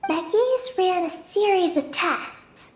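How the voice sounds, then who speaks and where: A woman voicing an earnest, determined young girl in a game, speaking in a solemn, measured way. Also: very high-pitched, expressive, roomy sound, very noisy, exaggerated voice. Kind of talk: dramatic game voice acting.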